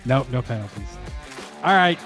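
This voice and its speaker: A man hosting a casual podcast, speaking haltingly and often repeating his words. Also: medium pitch, lively intonation, over music. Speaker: podcast host.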